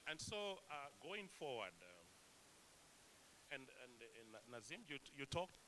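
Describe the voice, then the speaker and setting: A man's voice: medium pitch, big pitch swings, slow, bright, forceful tone, a little hiss or hum, low-quality recording. A man from Zambia speaking from the floor at a regional meeting, earnest and emphatic, with many hesitations and rhetorical questions. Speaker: conference delegate. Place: meeting room.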